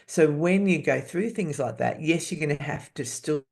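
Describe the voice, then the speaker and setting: A woman in her fifties, a podcast guest, speaking candidly and haltingly, restarting words and laughing often. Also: deep voice, animated, vocal fry, darkish tone. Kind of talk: candid personal interview.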